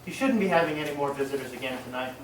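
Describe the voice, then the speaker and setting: A man's voice: medium pitch, rapid-fire, close to an everyday voice. Man acting methodical police detective in echoey room. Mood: businesslike. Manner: methodical, official questioning. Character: businesslike police detective questioning a couple at night. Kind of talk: old-time radio mystery acting. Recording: roomy, distant stage recording, faint noise.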